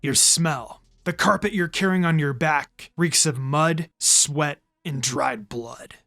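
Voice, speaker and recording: A man's voice: fairly high pitch, slow pace, creaky, pushed and bright, pitched below the performer's own voice, heavily acted. A man voicing a blunt, protective teenage boy ninja with a curt, controlled manner in a story reading. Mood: cold, defiant.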